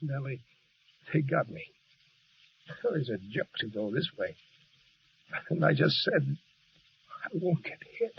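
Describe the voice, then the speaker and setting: An actor playing a brash, lovestruck American lieutenant in a 1940s radio war drama, with an American accent. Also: male voice, mid-range voice, animated, a little slow, vocal fry, pauses often. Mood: weak, pained. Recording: dull, hissy vintage radio recording.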